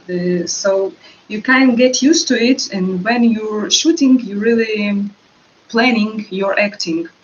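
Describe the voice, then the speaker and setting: Interview guest: Russian-accented woman, hesitant and searching for words, recorded a little dull with light hiss. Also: mid-range voice. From Russian-speaking. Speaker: actress guest. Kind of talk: interview answers.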